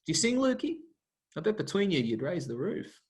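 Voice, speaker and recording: A man's voice: high-pitched, sing-song, fairly quick, a little mellow. An actor playing a bitter, hostile young man, mocking and contemptuous. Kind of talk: broadcast drama acting.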